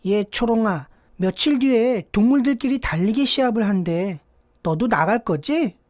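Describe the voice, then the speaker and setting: A woman's voice: fairly high pitch, animated, normal speed, a little mellow. A woman voicing a friendly, chatty monkey in an animal fable, cheering a friend on. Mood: encouraging. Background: background music.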